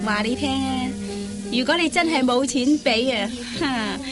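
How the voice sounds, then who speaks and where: A woman's voice: high-pitched, a little slow, over loud music. A woman playing a coquettish, money-minded young dance hostess in a radio comedy, coy and coaxing with a needling edge. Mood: cool, bargaining. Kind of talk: Cantonese radio comedy acting.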